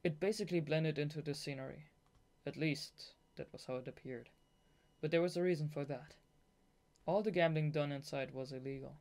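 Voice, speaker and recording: Young narrator with a mid-pitched voice. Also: moderate pace. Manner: giggly, excitable asides between read passages. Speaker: audiobook reader. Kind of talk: scripted novel narration with blooper asides.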